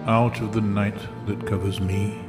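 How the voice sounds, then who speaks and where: Low-voiced man reciting verse under loud music. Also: flat delivery. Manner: solemn, deliberate. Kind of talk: solemn poetry recitation.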